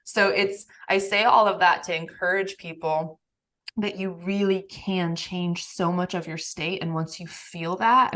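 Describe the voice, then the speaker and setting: A woman hosting a podcast conversation, talking in an emphatic, animated way with frequent laughs. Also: lowish voice. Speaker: podcast host, nervous system coach.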